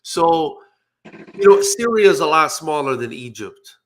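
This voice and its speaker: A man's voice: fairly high pitch, huge pitch swings, measured pace, vocal fry, background noise. A man hosting an interview, enthusiastic and rambling, with many fillers as he asks questions. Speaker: interview host. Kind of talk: casual interview.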